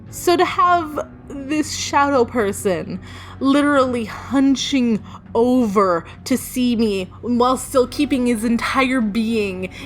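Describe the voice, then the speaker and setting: A woman telling a personal story in a casual, informal way, with dramatic pauses for suspense and acted-out thoughts. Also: a little high. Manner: casually sweary. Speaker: storyteller, video creator. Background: music.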